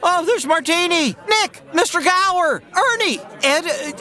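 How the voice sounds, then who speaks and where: A man playing an overjoyed, excitable small-town father in a comedic radio drama, exclaiming and stammering. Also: very high voice, unhurried, heavily acted. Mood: overjoyed, frantic. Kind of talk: old-time radio play acting, comedic.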